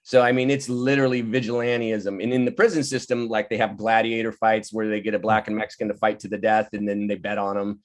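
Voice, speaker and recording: A man's voice: on the low side, steady tone, fast talker, few pauses. A man speaking as a playwright in a casual, informal discussion over a video call. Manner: informal, laughs often. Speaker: playwright taking part in a table read. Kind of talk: casual discussion, explaining the work. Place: virtual table read over video call.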